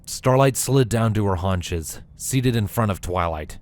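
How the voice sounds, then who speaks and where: A man's voice: lowish voice, expressive, unhurried, darkish tone. A man narrating a scripted story as a reader. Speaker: fan fiction reader.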